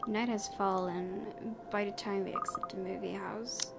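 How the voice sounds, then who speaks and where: A woman streamer reads a visual novel's story aloud. Her pitch is average and she sometimes trips over words, slipping into casual commentary. Clear background noise sits behind her voice. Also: steady tone, measured pace. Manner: stumbles over words while reading. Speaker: let's play streamer. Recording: clearly noisy home recording.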